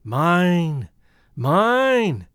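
A man's voice: high-pitched, sing-song, very slow, a bit bright, smooth, no echo, studio quality, exaggerated voice. A man voicing a chorus of eerie, possessive, gloating spirits in an audiobook, chanting in sing-song repetition.

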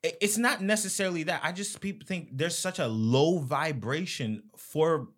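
A man's voice: a little high, moderate pace. A man from Florida co-hosting a podcast, telling stories emphatically in casual discussion. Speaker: podcast co-host.

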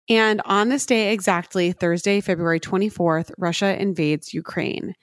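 A woman hosting a true crime podcast in a chatty, upbeat way, her voice hoarse after a weekend of karaoke. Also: fairly low pitch, dry recording, clean studio sound. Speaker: podcast host.

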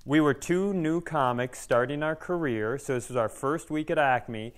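A man's voice: fairly high pitch, normal speed. A man co-hosting a podcast, telling stories casually in a joking, self-mocking way. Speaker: podcast co-host, stand-up comedian. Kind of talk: casual conversational storytelling.

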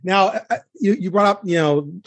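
Man, slightly high voice, dry close sound, podcast host, casual sports talk, conversational. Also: moderate pace, dry recording.

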